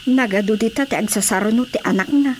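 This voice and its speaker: A woman's voice: on the high side, fast talker, close to an everyday voice. A woman playing a frank friend who chides and advises, in an Ilocano-accented radio drama comedy. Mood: chiding. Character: frank, advice-giving woman friend of a childless wife. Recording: clearly noisy radio drama recording.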